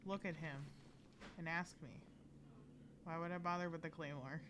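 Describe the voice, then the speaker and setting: A woman's voice: low-pitched, fairly even intonation, normal speed, noisy background. A woman giving casual live gameplay commentary, rambling along and playfully talking to the game. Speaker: game streamer. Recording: game music behind the voice. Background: loud video game music.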